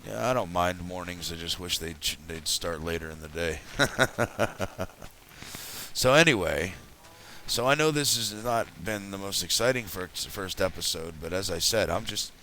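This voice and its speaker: Low, raspy-voiced man, just woken up. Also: unhurried, sharp tone, a little hiss or hum, rough recording. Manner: rambling, chatty, self-correcting. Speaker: let's play host. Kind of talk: casual gameplay commentary.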